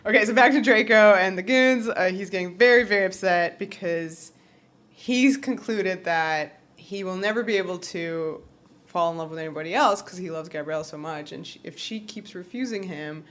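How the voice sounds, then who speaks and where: Giggly woman with a lowish voice. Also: moderate pace, fairly bright tone. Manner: giggly, breaks into laughter. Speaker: podcast co-host. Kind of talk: casual TV recap chat.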